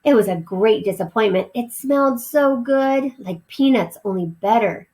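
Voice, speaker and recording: A woman's voice: a little high, moderate pace, dark tone, lightly acted. A small male mouse from a children's storybook, acted out by a woman reader. He is eager and a little indignant, complaining and pleading his case like a youngster who wants his way. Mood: wistful.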